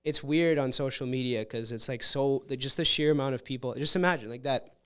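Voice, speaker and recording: Man, smooth and rambling. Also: medium pitch, a little fast, fairly bright tone. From Canada. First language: Russian. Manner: rambling, self-interrupting, blunt and profane. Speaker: comedian guest. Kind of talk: casual podcast interview.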